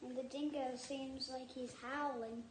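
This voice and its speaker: A young-sounding female reader doing a children's storybook read-aloud, halting at times, with casual asides. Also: high-pitched, level tone. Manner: halting, repeats words while reading. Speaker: storybook reader and channel host. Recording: echoey room, faint background noise.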